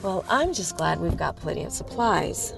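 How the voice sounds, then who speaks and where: A woman's voice: fairly low pitch, very animated, normal speed, gravelly, loud music behind the voice. A woman playing a chatty, teasing visiting friend in an amateur radio drama. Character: middle-aged friend Meredith, chatty and teasing.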